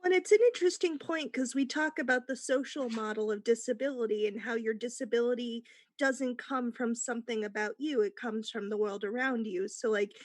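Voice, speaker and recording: A woman's voice: on the high side, fairly quick, dry recording. A woman panelist in a casual discussion, reflective and given to explaining her points.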